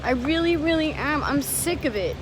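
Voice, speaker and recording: Woman ranting into her phone on the street, upset and swearing, calls everyone guys, loud traffic behind her. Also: high-pitched, lively intonation, normal speed. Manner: emotional, frustrated, swearing, addresses viewers as guys. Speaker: vlogger. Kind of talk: walking street vlog talking to camera. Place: outdoors on a city street. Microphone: handheld phone camera. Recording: noisy outdoor street recording. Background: traffic and street noise.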